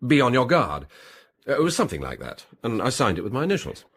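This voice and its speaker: Evasive British gentleman suspect, very animated. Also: mid-range voice, fast, slightly rough, exaggerated voice. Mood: recounting, earnest. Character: evasive, defensive gentleman suspect giving his statement. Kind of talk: British detective radio drama acting.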